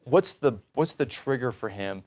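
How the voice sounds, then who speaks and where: A man's voice: mid-range voice, lively intonation, moderate pace, darkish tone. A man hosting a religious talk show, interviewing in an earnest, reflective, conversational way.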